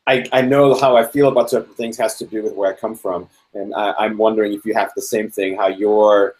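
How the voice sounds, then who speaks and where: A man's voice: on the low side, fairly even intonation, a little fast, a little mellow, poor audio. Austrian-born male host, middle-aged, curious and personal questions, video call sound. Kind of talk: casual live video interview. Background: fire engine passing.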